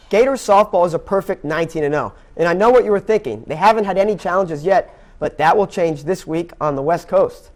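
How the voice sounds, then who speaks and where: A man's voice: on the high side, a little mellow. A young man delivering sports news as an anchor, in a scripted broadcast style. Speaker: sports anchor and play-by-play announcer. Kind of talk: scripted sports newscast and baseball commentary.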